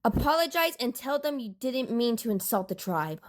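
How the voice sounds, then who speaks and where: A woman's voice: fairly high pitch, big pitch swings, moderate pace. A woman voicing a protective, reproachful older sister from a tribe, pleading and scolding in a dramatic manga dub. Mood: firm, pleading.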